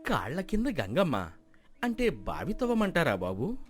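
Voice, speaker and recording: A man's voice: a little high, wildly swooping pitch, unhurried. A man playing a stubborn, hopeful village farmer in an acted folk tale, speaking earnestly and pleadingly. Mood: hopeful, deferential. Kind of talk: dramatized folk moral story acting.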